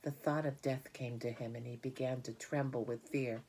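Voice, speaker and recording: A woman's voice: a bass voice, steady tone, moderate pace, darkish tone, a little hiss or hum, poor audio. A woman reading a children's story aloud as scripted narration. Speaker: audiobook reader.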